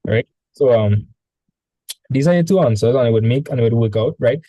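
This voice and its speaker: A man with a Trinidadian accent tutoring a student in math over a video call, relaxed and chatty. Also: mid-range voice, a little fast, no room sound, low-quality recording. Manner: relaxed, chatty, repeats words when starting. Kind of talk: casual online tutoring session.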